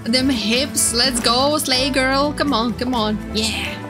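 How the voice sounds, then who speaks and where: A young woman streamer giving casual, excitable, gushing live reaction commentary. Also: high voice, slow. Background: game trailer music.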